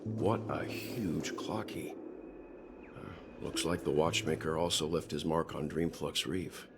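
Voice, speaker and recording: An actor playing a calm, thoughtful, dignified middle-aged traveller in a video game, speaking in a measured, reflective way. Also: male voice, extremely low pitch, creaky, background noise, exaggerated voice. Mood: calm, pensive. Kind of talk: scripted video game dialogue.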